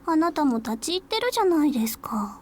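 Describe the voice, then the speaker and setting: A high, girlish voice plays a quirky Japanese schoolgirl in a visual novel. She is polite and gentle, with a soft teasing streak, and speaks as a native Japanese. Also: very animated, brisk. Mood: politely teasing.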